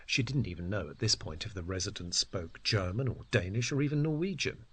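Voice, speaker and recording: A man reading a literary story in an expressive, measured, dramatic style. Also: low-pitched, breathy edge. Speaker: narrator. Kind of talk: dramatic literary story narration, acting out dialogue.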